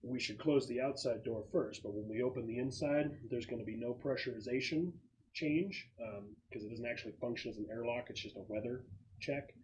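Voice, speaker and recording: A man's voice: medium pitch, a little fast, a little mellow, close to an everyday voice, poor audio. A man voicing a laid-back break-in specialist briefing his crew in a tabletop game, matter-of-fact and technical. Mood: calm, matter-of-fact. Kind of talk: in-character briefing by a game master.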